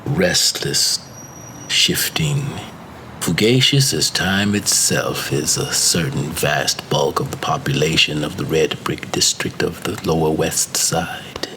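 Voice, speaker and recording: A man narrating a classic macabre story as an audiobook, with measured, deliberate phrasing. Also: extremely low pitch, sharp tone, a little hiss or hum, low-quality recording. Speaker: story reader.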